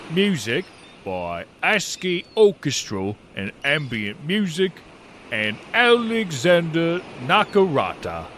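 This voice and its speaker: A man playing an eager, easily exasperated treasure hunter in a comic audio drama skit, animated and exaggerated. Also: medium pitch, slight background noise, exaggerated voice.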